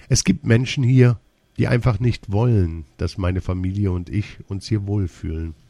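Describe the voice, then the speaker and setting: A man reading a first-person personal essay aloud in a slow, measured, deliberate way. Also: low voice, dark tone, no echo.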